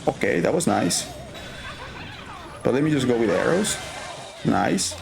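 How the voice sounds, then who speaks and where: A man's voice: mid-range voice, very animated, a little slow, vocal fry, echoey room, music in the background. A man from Mexico with a Mexican Spanish accent, casually commentating his own gameplay and thinking aloud with frequent fillers. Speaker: gaming YouTuber.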